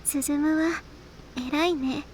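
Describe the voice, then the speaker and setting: High-voiced, earnest anime schoolgirl. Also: steady tone, measured pace, slight background noise. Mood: admiring. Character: earnest, gently cautioning teenage girl classmate. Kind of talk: Japanese visual novel voice acting.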